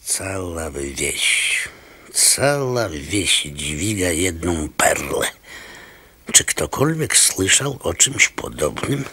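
A sly pearl merchant in a radio play. His low voice swings widely in pitch, with a forceful edge. He is calculating and measured in manner. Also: sing-song, slight background noise, exaggerated voice. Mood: musing, sardonic. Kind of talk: Polish radio drama acting.

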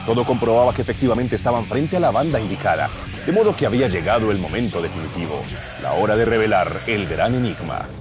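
A man's voice: fairly low pitch, expressive, quick pace, music in the background. A man in his thirties with a Colombian accent narrating a radio serial in a mock-epic, suspenseful style, close on a studio mic. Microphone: close broadcast microphone.

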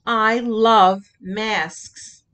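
Storybook child played by a woman reader: eager, imaginative girl, slow dramatic delivery. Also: fairly high pitch, steady tone, a bit bright, slight background noise. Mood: excited, imaginative. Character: young girl, imaginative, eager to play pretend.

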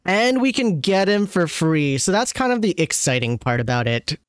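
Higher-voiced man giving casual reactions. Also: big pitch swings, normal speed, clean tone, no echo, studio quality. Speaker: streamer reacting to a game trailer.

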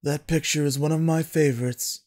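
A man playing a brooding, caring uncle and clan lord in an amateur anime audio drama, earnest and measured. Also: fairly high pitch, steady tone, a little mellow, lightly acted. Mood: gentle.

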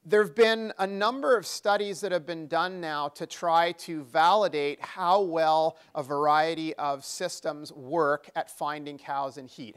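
Middle-aged man, conference lecture, smooth resonant voice, slightly high, handheld mic, explanatory with restarts. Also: a bit bright. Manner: explanatory, with frequent fillers and restarts. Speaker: conference speaker. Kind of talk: technical seminar lecture. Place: exhibition seminar room, indoors. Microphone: handheld microphone.

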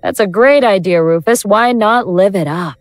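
A woman's voice: mid-range voice, dry recording, clean studio sound, close to an everyday voice. A woman acting in an audio drama as a mocking elder god in the guise of a young woman, teasing and condescending. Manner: slow, teasing, condescending, self-possessed.

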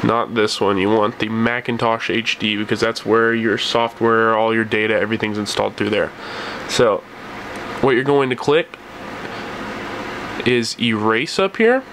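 A man's voice: fairly low pitch, a little slow. A man hosting a tech tutorial, explaining steps casually as he goes. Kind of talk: casual step-by-step screen tutorial. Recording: noisy room with steady hum.

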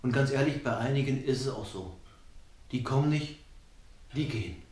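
A man's voice: medium pitch, animated, moderate pace, a little mellow. A middle-aged man speaking to camera in a jokey, conversational comedy monologue, recorded in an echoey room at home. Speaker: comedian and cabaret artist. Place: small rooms of a flat, indoors.

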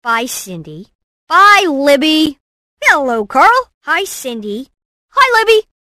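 A woman voicing a brainy, cocky, excitable boy inventor in cartoon game clips, with a theatrical, punchy delivery. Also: high voice, slow pace, dry recording, studio quality, exaggerated voice. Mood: cheerful. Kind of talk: animated game voice clips, short exclamations and catchphrases.

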